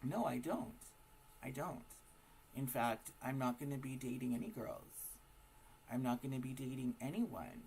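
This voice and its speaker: Raspy, gravelly-voiced man. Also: medium pitch, animated, unhurried, darkish tone, a little hiss or hum. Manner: emphatic, rhetorical questions. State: hoarse from smoking and partying. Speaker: vlogger. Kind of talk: casual opinion monologue.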